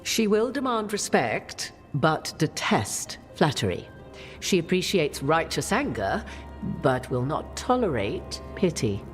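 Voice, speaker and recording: A worldly-wise witch from a fantasy game, regal and knowing. Her phrases are archaic and eloquent, rising and falling in animated swoops over a bed of music. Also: sits low, slow, full character voice. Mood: measured, explanatory. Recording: music under the voice.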